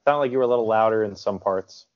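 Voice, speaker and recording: A man's voice: on the low side, fast talker, lo-fi sound, slight background noise. A man co-hosting a comedy podcast, mock-serious, stammering and restarting as he talks. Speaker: podcast co-host. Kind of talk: comedic casual chat.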